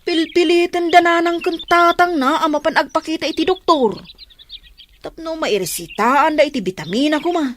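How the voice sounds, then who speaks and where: A woman's voice: high-pitched, normal speed, a bit bright. A woman playing a concerned, talkative village woman who gives frank advice in an Ilocano radio drama. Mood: earnest, frank. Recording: noisy track with birdsong and clicks.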